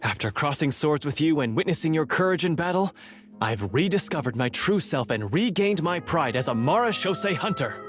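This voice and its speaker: A man's voice: a little high, animated, moderate pace, soft background music, natural voice. A man playing a repentant monster hunter in a video game, speaking earnestly in formal, dramatic phrases. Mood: contrite, theatrical.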